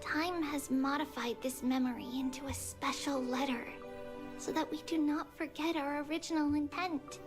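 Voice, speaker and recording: Woman as a high-voiced, earnest girl game heroine. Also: fairly even intonation, normal speed, lightly acted. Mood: solemn, heartfelt. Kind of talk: scripted game character dialogue. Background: loud background music.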